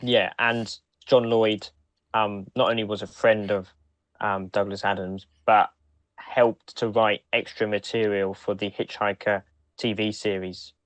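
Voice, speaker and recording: A man co-hosting a podcast in casual discussion, speaking in a halting way with frequent restarts. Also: on the low side, level tone, a bit bright, no echo. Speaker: podcast co-host.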